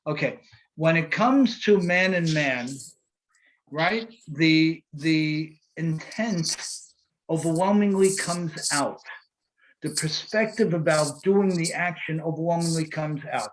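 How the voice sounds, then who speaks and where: Animated rabbi teaching on a call. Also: male voice, on the high side, slow, slight background noise, low-quality recording. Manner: animated, repeats phrases for emphasis. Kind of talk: interactive online class. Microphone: video call.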